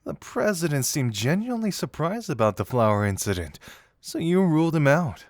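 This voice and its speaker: A man's voice: on the high side, sing-song, normal speed, no room sound, studio quality. A man playing a playful, teasing young detective in an intimate audio roleplay, talking conversationally and with amusement straight to the listener. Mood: sly, musing.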